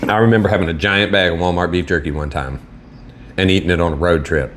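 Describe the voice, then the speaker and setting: A man with a Southern American accent casually reviewing food on camera in a relaxed, rambling way. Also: sits low, quick pace, a little hiss or hum. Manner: laid-back, rambling, self-deprecating. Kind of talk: casual solo video review.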